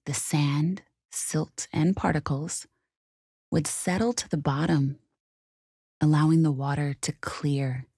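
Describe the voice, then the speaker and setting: A woman leading a guided meditation in a calm, gentle, soothing and measured way. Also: low voice, gravelly, husky, no room sound, studio quality.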